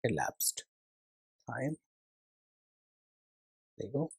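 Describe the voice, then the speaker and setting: Man, coding tutorial instructor, husky, lively varied pitch, thinking aloud, step-by-step screencast narration. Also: extremely slow, no echo. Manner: hesitant, thinking aloud while working.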